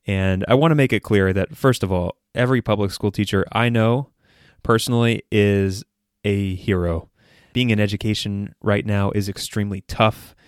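A man's voice: fairly low pitch, steady tone, normal speed, clean tone, no room sound, clean studio sound. An American man hosting a podcast, giving earnest, conversational solo commentary with hesitant phrasing. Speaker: podcast host.

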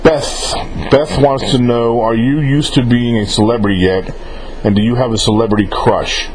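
A man interviews in a casual, chatty way at a middling pitch. He rambles a little and rewords his questions as he goes. There is clear noise behind his voice. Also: fairly even intonation, moderate pace, poor audio. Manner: informal, rambling, often rephrasing questions. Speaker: interviewer relaying fan questions.

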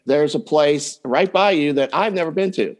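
A man's voice: a little high, moderate pace. A man speaking as a coach and podcast guest, giving plain-spoken advice in casual talk and acting out example lines.

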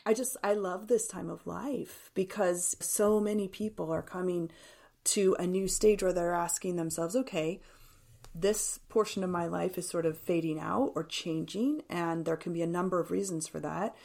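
A woman's voice: lowish voice, steady tone, moderate pace, vocal fry, darkish tone. A woman in her fifties speaking reflectively and earnestly as an author being interviewed, explaining her ideas. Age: 50s.

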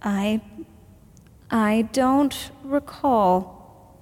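A nervous witness in an audio drama, heard in a reverberant hall. She answers slowly and haltingly, guarded and terse. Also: fairly high pitch, a little mellow. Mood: guarded, reluctant. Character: guarded, nervous young female witness under questioning.

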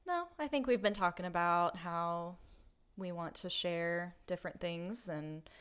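Soft-spoken, low-voiced woman co-host. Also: clean tone. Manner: quiet, measured, hesitant. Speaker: podcast co-host. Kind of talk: casual chat.

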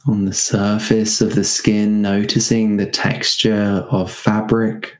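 A man guiding a meditation in a gentle, unhurried manner, leaving spacious pauses between short phrases. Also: on the low side, flat delivery. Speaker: meditation guide. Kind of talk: guided meditation.

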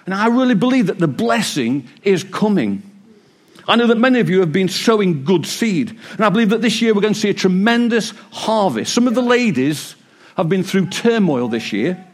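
A man preaching a sermon in church, a bit croaky, with a joking, warm delivery. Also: high voice, very animated, normal speed. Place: church hall with congregation. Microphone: wireless mic.

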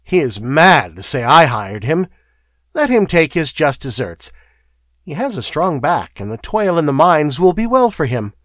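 A man's voice: fairly high pitch, very animated, fairly bright tone, no echo, voice pushed up. A dandyish young male aristocrat in a storybook reading, lisping with affected, disdainful airs, performed by a man. He talks in a raised, refined voice, recorded dry and close. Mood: haughty. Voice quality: affected lisp. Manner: fastidious, disdainful drawl. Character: foppish, languid young male nobleman.

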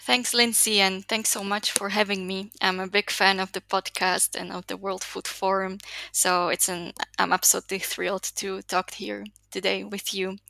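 A woman's voice: mid-range voice, little pitch movement, moderate pace, gravelly, pushed and bright, dry recording. A woman, giving earnest, articulate answers as an interview guest. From Slovakia. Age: 20s. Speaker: podcast guest, youth leader.

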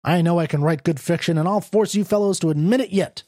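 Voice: medium pitch, quick pace, mellow, no room sound, studio quality, pitched above the performer's own voice, close to an everyday voice. A reader doing a sardonic, broke male writer. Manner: sardonic, blunt, defiant. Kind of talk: audiobook character reading.

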